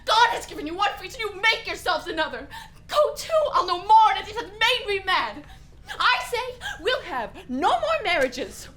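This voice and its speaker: A woman's voice: extremely high pitch, sing-song, normal speed, pushed and bright, slight background noise, full character voice. A woman acting a bitter, mocking, volatile young prince in a Shakespearean radio play. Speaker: actor playing Hamlet.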